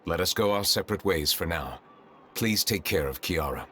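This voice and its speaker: A man playing a grave, courteous authority figure in a video game, with a formal, measured manner. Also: sits low, animated, bright, forceful tone.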